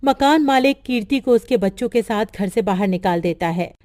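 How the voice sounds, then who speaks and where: A woman narrating a cartoon storybook in a steady, plain way. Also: mid-range voice, normal speed, no room sound, studio quality.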